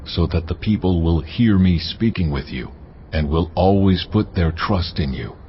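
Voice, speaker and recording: A man's voice: deep voice, lively intonation, a little slow, slight background noise. A man voicing God as a solemn, commanding deity in a dramatized audio Bible, speaking with grave authority. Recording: muffled, music underneath. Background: background music.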